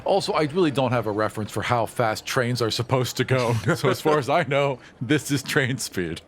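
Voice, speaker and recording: A man's voice: mid-range voice, lively intonation, moderate pace, slight background noise. A man chatting casually and joking as he improvises his part as a player in a tabletop game.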